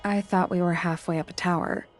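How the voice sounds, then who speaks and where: A woman playing a blunt, observant detective's sidekick with deadpan, laconic delivery in a mystery adventure game. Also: fairly low pitch, brisk, soft background music, natural voice. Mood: curious, matter-of-fact. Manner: deadpan, laconic, matter-of-fact.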